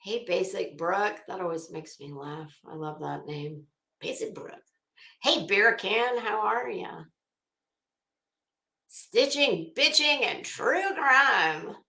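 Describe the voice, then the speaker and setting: A woman's voice: mid-range voice, expressive, takes it slowly, vocal fry, pushed and bright. A middle-aged woman chatting casually as a livestream host, greeting viewers with teasing, salty banter. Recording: echoey room.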